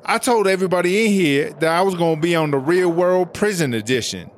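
A man's voice: high-pitched, fairly quick, slight background noise, close to an everyday voice. A man playing a brash, vain, bossy female prison inmate in a comedic audio drama, with sassy, slangy talk. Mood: indignant. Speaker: interviewed inmate character.

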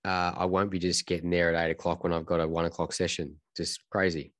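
Low nasal Australian man. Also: fairly quick, no echo. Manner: relaxed, easygoing, repeats himself. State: congested from a cold. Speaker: racing driver and podcast guest. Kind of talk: casual chat.

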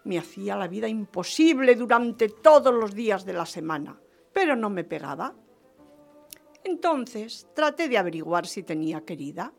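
A woman's voice: mid-range voice, sing-song, normal speed, creaky, faint music behind. A woman playing an exuberant, vengeful French marquise in radio theatre, theatrical and gushing, repeating phrases for emphasis. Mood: conspiratorial, indignant. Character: exuberant, scheming, vengeful French marquise, gleeful about her marriage. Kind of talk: radio theatre acting of a period story.

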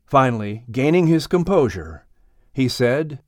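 Animated male storybook narrator. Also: medium pitch, measured pace, no room sound, clean studio sound. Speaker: audiobook narrator. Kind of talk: expressive scripted children's story narration.

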